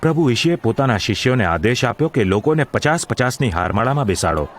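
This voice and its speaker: A man's voice: medium pitch, big pitch swings, fast, slight background noise, natural voice. A man narrating a gospel audio drama in a formal, solemn storytelling style.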